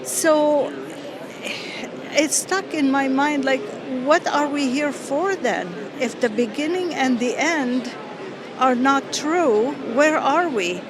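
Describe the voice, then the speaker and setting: A woman from Lebanon telling her personal faith story in an interview, earnest and halting, with many pauses and fillers. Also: high voice, lively intonation, a crowd in the background. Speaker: interview guest. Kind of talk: personal testimony. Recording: outdoor street noise behind.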